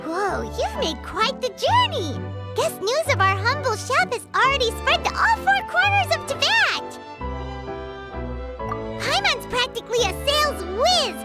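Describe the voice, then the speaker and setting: Casting: small cheerful fairy sidekick for a game, squeaky, boastful, wildly high and animated. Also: female voice, unhurried, exaggerated voice. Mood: excited, boastful. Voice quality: squeaky, childlike. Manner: excitable, boastful, speaks of herself in third person. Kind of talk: video game character acting. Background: playful background music.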